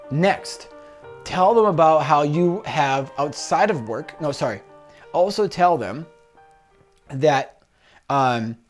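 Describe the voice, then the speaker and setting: A man coaching someone one-on-one for a job interview, giving firm, repetitive instructions over a video call. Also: fairly high pitch. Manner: directive, repeats points, quick asides. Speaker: career coach.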